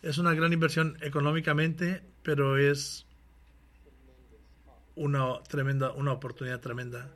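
An earnest pastor calls his congregation to action and then moves into prayer. His voice sits a little higher than most men's. He often breaks off and restarts his phrases. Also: little pitch movement, normal speed, long pauses, fairly bright tone. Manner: earnest, exhorting, with frequent restarts. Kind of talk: sermon with closing prayer.

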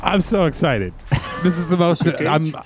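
A wisecracking man co-hosts a casual podcast and reacts to trailers with excitement. His voice swings up and down in a lively way. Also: fairly high pitch, a little slow, background noise. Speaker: podcast co-host.

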